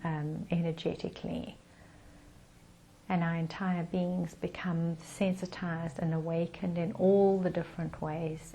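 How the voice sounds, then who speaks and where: A woman leading a guided meditation in a calm, soothing manner with gentle pauses. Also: fairly low pitch, a little hiss or hum.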